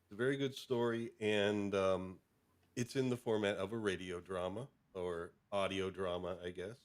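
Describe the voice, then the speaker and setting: A man co-hosting a casual live stream, informal and a little halting as he talks. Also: low voice, steady tone.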